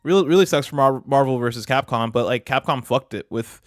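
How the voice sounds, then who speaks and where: A man's voice: mid-range voice, brisk. A man streaming casual live reaction commentary, talking in a slangy, profane way. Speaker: streamer and host. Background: game showcase audio and music.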